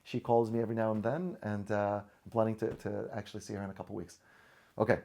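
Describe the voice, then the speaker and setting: A man's voice: fairly low pitch, level tone, fast talker, vocal fry, dark tone. A man from Syria whose first language is Arabic, a podcast guest telling personal stories earnestly, often restarting and repeating words. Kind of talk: personal storytelling in conversation.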